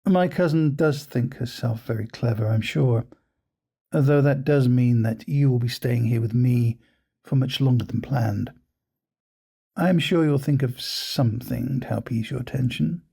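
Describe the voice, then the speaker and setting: A man playing a coldly ambitious aristocratic gentleman in an audio drama, speaking in a formal, suave, measured way. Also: medium pitch, vocal fry, husky. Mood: amused, suave. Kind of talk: scripted audio roleplay drama.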